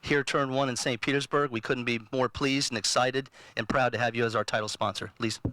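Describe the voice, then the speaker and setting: A man's voice: mid-range voice, steady tone, brisk, bright, forceful tone, no room sound, poor audio. A man acting as event organizer and master of ceremonies, introducing speakers at a press event.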